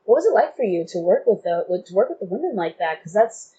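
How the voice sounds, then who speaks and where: A woman's voice: medium pitch, sing-song, fast, mellow. A woman asking questions conversationally as a podcast interviewer. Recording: dull, noisy recording with room ambience.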